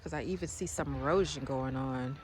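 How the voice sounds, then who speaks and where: A woman giving a casual walking tour of her land. She has a cold, clears her throat now and then, and rambles as she goes. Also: very deep voice, brisk, a little hiss or hum. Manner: chatty, loose and rambling, repeats words. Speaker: farm owner vlogger. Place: outdoors on rural land in the rain.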